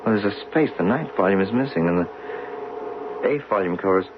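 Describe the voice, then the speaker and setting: A man acting in a 1970s radio drama as a driven, learned geologist, speaking intensely and deliberately with theatrical pauses. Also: deep voice, animated. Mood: puzzled, intrigued. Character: driven, learned geologist obsessed with solving a buried mystery. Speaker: actor in a radio play. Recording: dull, noisy 1970s radio recording.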